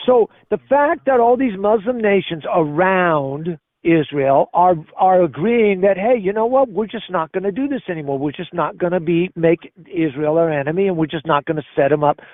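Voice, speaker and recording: High-voiced man, mature, insistent political talker on a slightly muffled call. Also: moderate pace, few pauses, dry recording. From Spain. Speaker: caller. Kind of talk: opinionated political conversation. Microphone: phone or voice call.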